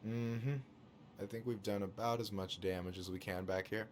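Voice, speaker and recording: Young man played by an actor, deep-voiced, open-hearted and casual, for naturalistic video game dialogue. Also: fairly even intonation, moderate pace, slight background noise, close to an everyday voice. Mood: friendly. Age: young adult. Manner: warm, casual, earnest.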